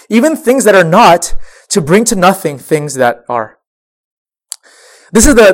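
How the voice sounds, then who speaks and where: Young male youth pastor, high voice, stuffed up with a cold, earnest sermon delivery. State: sniffling with a cold. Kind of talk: sermon to a high school group.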